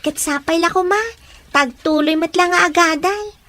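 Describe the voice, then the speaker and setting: A woman's voice: extremely high pitch, moderate pace, pushed and bright. An actress playing a polite and respectful young woman who is visiting her aunt, uncle and father in a radio drama.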